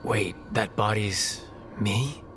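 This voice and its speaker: An actor playing an earnest, wry adventurer hero in a fantasy video game, plain-spoken and direct. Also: male voice, fairly low pitch, takes it slowly, a bit bright, slight background noise. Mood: wry, sober.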